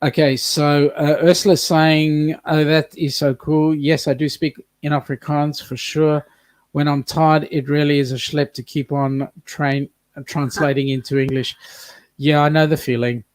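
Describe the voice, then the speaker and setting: A man's voice: fairly high pitch, level tone, a little slow, dry recording. A man, co-hosting a casual livestream and reading viewer comments aloud. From South Africa. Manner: chatty, reads out viewer comments. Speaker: co-host reading live chat.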